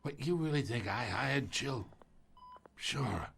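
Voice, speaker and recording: An actor playing an older crime lord, sly and menacing, weakened by pain, in a game with a gravelly, needling delivery. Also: male voice, medium pitch, animated, fairly bright tone, a little hiss or hum, full character voice. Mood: weary, sly. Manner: slow, mock-familiar, needling. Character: ailing old male crime lord, sly, taunting, menacing. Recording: music playing behind the voice.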